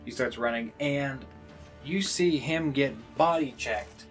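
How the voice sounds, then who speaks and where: A man's voice: mid-range voice, slow, soft background music. A man improvising narration as the game master of a casual tabletop role-playing session.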